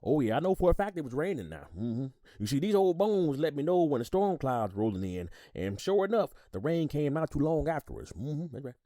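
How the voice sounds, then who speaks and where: A man performs an old eyewitness in a courtroom drama. His folksy, colloquial delivery swings very widely in pitch, sitting a little high for a man, in a dry recording. He sounds sure of what he knows. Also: very animated, fairly quick, few pauses, dark tone, dry recording. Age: older. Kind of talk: audio drama acting.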